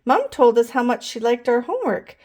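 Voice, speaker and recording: A woman reading as a little kindergarten girl in a picture book, eager and proud. The pitch is a bit raised and lively, with simple, show-and-tell phrasing. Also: fairly quick. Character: eager little kindergarten girls proudly reporting good deeds.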